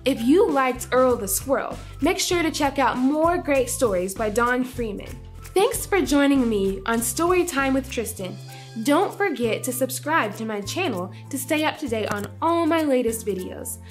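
A young woman hosting a children's read-aloud and lesson, phrasing clearly and expressively for young listeners. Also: sits high, animated, normal speed, faint music behind. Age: young adult. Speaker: storytime host.

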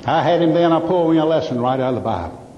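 A man with a Southern US accent telling humorous stories as a folksy speaker, pausing and drawing out his punchlines. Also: on the high side, animated, warm tone. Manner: folksy, drawn-out punchlines with pauses. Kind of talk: stand-up storytelling to a live audience. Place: large indoor hall with a live audience. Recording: muffled, echoey hall PA with some noise.

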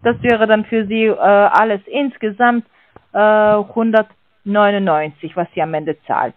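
A woman's voice: medium pitch, steady tone, unhurried, no echo. A woman calling as a sales agent over the phone, talking hesitantly with many filler sounds and non-native grammar. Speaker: sales caller. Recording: dry tinny phone line.

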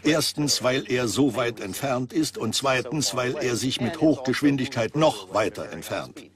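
A man playing an earnest scientist, explaining things in a measured way like a dubbed documentary expert. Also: medium pitch, lively intonation, crowd noise, lightly acted. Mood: earnest, explanatory. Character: earnest male scientist warning of cosmic threats.

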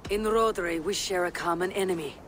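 An actress playing a calm, resolute Viking warrior woman in a video game, speaking low and husky in a measured, solemn way. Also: a little hiss or hum, natural voice. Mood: calm, earnest. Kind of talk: video game character acting.

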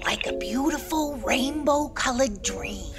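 A woman's voice: high voice, unhurried, over loud music. An actress playing a dreamy, melodramatic female sidekick in a radio horror comedy, gushing theatrically. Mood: dreamy, crestfallen.

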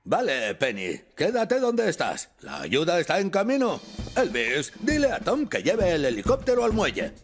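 A man voicing a brisk, authoritative fire station chief in a dubbed children's cartoon. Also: high voice, huge pitch swings, exaggerated voice. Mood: urgent. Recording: loud cartoon music behind.

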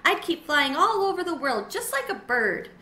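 A woman's voice: sits high, big pitch swings, moderate pace, bright, forceful tone, voice pushed up, exaggerated voice. A woman voicing an eager, earnest young schoolgirl in a children's storybook. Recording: roomy echo, faint background noise.

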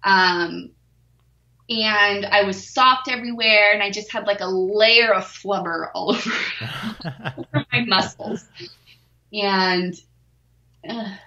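A woman's voice: medium pitch, slow, long pauses, bright, forceful tone, roomy sound, highs cut off. A forty-year-old woman, a weightlifter, talking openly and self-deprecatingly as a podcast guest, laughing easily. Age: 40s. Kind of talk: candid podcast interview.

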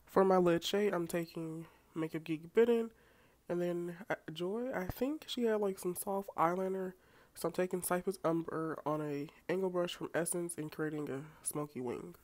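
A woman's voice: fairly low pitch, moderate pace, darkish tone. A young woman with a congested, stuffy voice, chatting casually through a makeup tutorial with self-mocking asides. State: congested from a cold. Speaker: beauty vlogger. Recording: faint background music.

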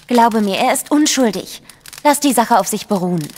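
A woman's voice: fairly high pitch, expressive, moderate pace, darkish tone, long reverb tail, a little hiss or hum, natural voice. An actress playing a sorrowful, strong-willed young woman, earnest and insistent, in an audio drama. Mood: pleading. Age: young adult.

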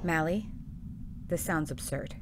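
Weary manager, played by a woman, slightly low voice, dry, faint ambience behind. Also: a little slow, noisy background. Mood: skeptical. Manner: dry, unimpressed. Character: skeptical, weary female hotel manager minding the budget. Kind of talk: comedic audio drama acting.

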